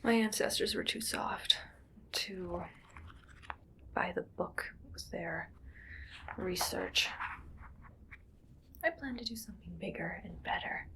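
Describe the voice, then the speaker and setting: A woman playing an eerily calm, gleefully morbid mad scientist in an ASMR roleplay, speaking in a hushed, breathy, musing whisper. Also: fairly low pitch, full character voice, low-quality recording. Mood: quietly proud. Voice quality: breathy whisper with soft creaky voicing. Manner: slow, hushed, musing to herself.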